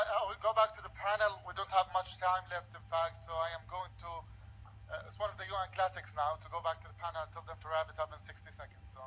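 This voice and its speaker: A man runs a panel discussion briskly and politely, talking fast and filling his pauses as he goes. His voice is bright and slightly high. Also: a little hiss or hum, poor audio. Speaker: panel moderator.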